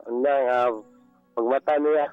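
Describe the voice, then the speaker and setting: A man's voice: mid-range voice, fairly even intonation, no room sound. A male caller chats on a dull, dry phone line with faint music behind him. His Cebuano Filipino accent comes through as he talks casually, stopping and repeating words. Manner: halting, repeating himself. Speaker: radio show caller. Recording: dull lo-fi phone call on radio.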